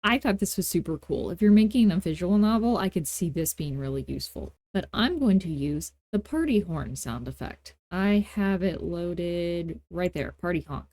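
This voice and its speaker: A woman hosting a casual software tutorial, talking in an informal, playful and self-deprecating way. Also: fairly low pitch, lively intonation, normal speed, few pauses, no echo. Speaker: tutorial host.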